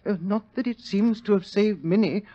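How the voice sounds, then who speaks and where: An actor playing a meek, fretful suspect who stammers and pleads in a 1940s radio drama. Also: male voice, high-pitched, moderate pace, warm tone. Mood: mournful, fretful. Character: meek, fretful, timid male murder suspect. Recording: muffled old radio recording.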